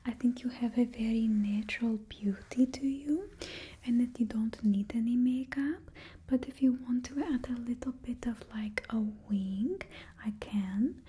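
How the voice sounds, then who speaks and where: A young woman playing a doting female supervillain makeup stylist, whispering slowly and gently close to the mic in a put-on Russian accent, as ASMR roleplay. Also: fairly high pitch, husky. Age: young adult. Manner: slow, gentle, fussy and attentive. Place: small indoor studio. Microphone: close mic, face near camera.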